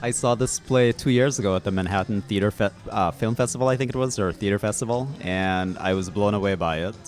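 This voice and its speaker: A man's voice: on the low side, brisk, faint music behind. A man from Guyana talking enthusiastically about a play, stumbling over restarts. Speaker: theatregoer being interviewed. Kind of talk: casual interview answer.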